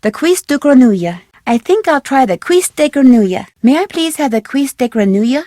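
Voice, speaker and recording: A young female detective for a video game, her voice high and perky. She is polite, curious and eager, asking questions earnestly. The recording is dry and close. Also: lively intonation, moderate pace, mellow, no echo. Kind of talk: video game dialogue, short separate lines.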